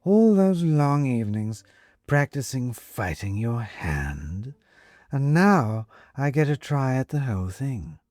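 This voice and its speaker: A man voicing a sly, predatory house cat that taunts its prey in a slow, condescending drawl. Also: mid-range voice, big pitch swings, a little mellow, no echo. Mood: taunting.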